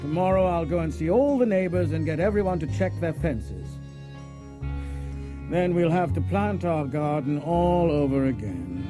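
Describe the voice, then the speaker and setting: A man's voice: fairly high pitch, lively intonation, normal speed, close to an everyday voice. A man playing an exasperated but resourceful old farmer and gardener in a storybook, speaking with emphatic, theatrical delivery. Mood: weary, resolved. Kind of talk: audiobook character acting. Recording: cassette audiobook with music behind.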